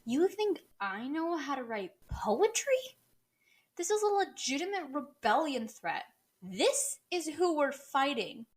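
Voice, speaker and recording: A woman playing a casual, expressive mercenary of a castle guard in an audio drama, with an offhand manner. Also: high voice, slow pace, full character voice. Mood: defensive.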